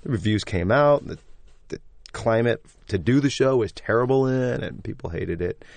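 A man, a stage actor and singer, talking enthusiastically as a guest in an interview, with a loud, braying voice. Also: mid-range voice, lively intonation, moderate pace, vocal fry, no echo. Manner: enthusiastic, effusive. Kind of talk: casual interview talk.

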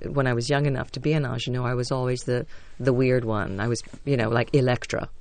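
A stage actress with a low voice, telling chatty, self-deprecating stories as a radio interview guest. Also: female voice, steady tone, fast talker, a little mellow, dry recording.

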